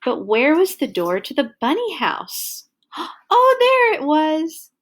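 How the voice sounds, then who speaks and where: A woman hosting a read-along, reading a children's picture book aloud with animated, exaggerated emphasis for young listeners. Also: sits high, slow.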